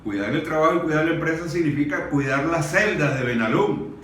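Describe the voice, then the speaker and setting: Venezuelan male, very echoey distant recording, earnest public address, deliberate emphatic phrasing, mid-range pitch. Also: expressive, fairly bright tone. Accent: Venezuelan Spanish. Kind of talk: earnest speech at a public discussion. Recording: very echoey room, distant mic, faint noise.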